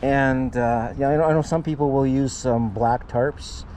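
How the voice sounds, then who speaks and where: A man with a dark, relaxed, mid-pitched voice walks through a DIY repair in a casual, folksy, halting way. He is recorded outdoors on an action camera, with faint noise behind him. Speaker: host of DIY renovation channel. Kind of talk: casual hands-on repair tutorial. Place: outdoors under a raised mobile home.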